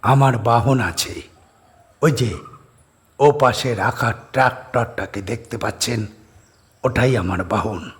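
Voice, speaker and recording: A man voicing a lonely, secretive middle-aged farmer on an island, speaking low, soft and measured. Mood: quiet, guarded.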